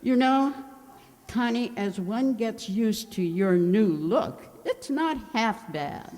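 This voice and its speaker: A woman playing a scatterbrained, kindly, nosy older landlady in a comedic old-time radio show, dithery and unhurried. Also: on the high side, lively intonation, a little mellow. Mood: chatty. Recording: hall-like, long reverb.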